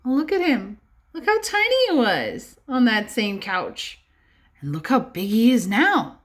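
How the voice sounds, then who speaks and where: Animated woman reading aloud to young children. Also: on the high side. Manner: expressive, slow and instructive, often checking with rhetorical questions. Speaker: early-years classroom teacher reading a picture book aloud.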